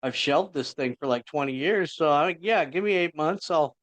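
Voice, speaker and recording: A man's voice: a little high, moderate pace, a bit bright, no room sound. A middle-aged man, an indie author, chatting casually on a podcast in a rambling, self-interrupting way.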